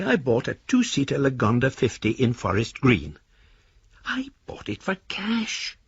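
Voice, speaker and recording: Casting: pompous older gentleman for radio drama, husky and animated, reading flowery letters; dry, slightly dull sound. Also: mid-range voice, dry recording, exaggerated voice. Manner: pompous, flowery, ornate phrasing. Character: courtly, florid older gentleman, newly rich and travelling.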